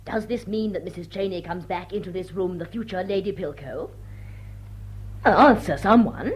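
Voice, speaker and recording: A woman's voice: lowish voice, moderate pace, slight background noise, close to an everyday voice. An actress playing an imperious, gossipy society lady with a bossy, emphatic manner. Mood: eagerly nosy. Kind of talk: stage drawing-room radio drama.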